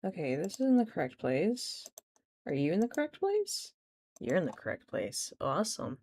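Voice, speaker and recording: A woman streaming a game, chatting casually with her viewers and laughing often, her voice rough and tired from just waking up. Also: lowish voice, wildly swooping pitch, moderate pace, a little mellow. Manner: chatty, giggly, talks to chat while playing. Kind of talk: casual gaming livestream chat.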